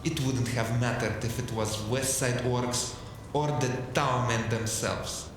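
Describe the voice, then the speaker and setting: A man's voice: medium pitch, fairly even intonation, slow pace, noisy background, lightly acted. A man voicing an arrogant male corporate fixer who speaks coldly and with contempt. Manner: cold, contemptuous, clipped. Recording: echoey room with music behind.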